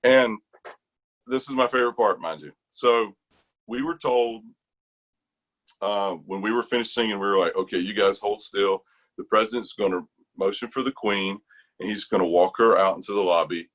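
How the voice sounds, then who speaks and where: A middle-aged American man telling stories casually in conversation, animated and colloquial. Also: lowish voice, normal speed, low-quality recording. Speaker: interview guest, retired army chorus bass singer.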